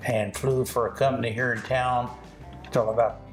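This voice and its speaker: A man's voice: medium pitch, steady tone, normal speed, roomy sound, faint music behind. An older man from Ohio, a retired Air Force veteran, telling his life story in a plain, matter-of-fact way. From Ohio, United States. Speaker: veteran guest.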